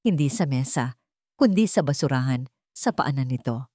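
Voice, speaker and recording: A woman's voice: extremely low pitch, animated, moderate pace, mellow, no room sound, studio quality. A woman with a Filipino accent reading a scripted story in a dramatic storytelling style.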